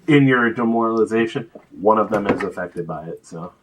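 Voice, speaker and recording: A man's voice: fairly low pitch, fairly quick, background noise. A man acting as game master, casually refereeing a tabletop role-playing session. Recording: music behind the talk. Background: music.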